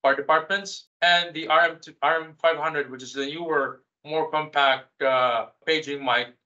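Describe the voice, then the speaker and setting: A man giving a technical product webinar as a specialist, in a halting, hesitant way with repeated words. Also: fairly high pitch, fairly even intonation, bright, forceful tone. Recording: slightly dull, lo-fi webinar audio.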